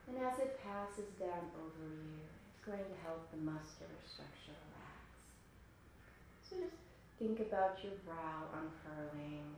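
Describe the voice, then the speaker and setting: A woman leading a guided meditation in a soothing, unhurried manner, with long pauses between phrases. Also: low voice. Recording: roomy echo, clearly noisy background.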